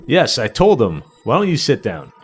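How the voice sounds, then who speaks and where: A practical businessman of old radio drama , put on by a man, urging calm. The medium-pitched voice is emphatic and swings up and down in an amateur radio play. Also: moderate pace, slight background noise. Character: practical male house-selling partner, urging calm.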